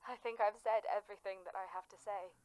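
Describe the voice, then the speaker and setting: A woman playing a shy, gentle young nurse who stammers hesitantly as a caller in a radio drama. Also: mid-range voice, steady tone, quick pace, crowd noise, close to an everyday voice, low-quality recording. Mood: hesitant, saddened. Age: 20s. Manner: hesitant, stammering, soft-hearted.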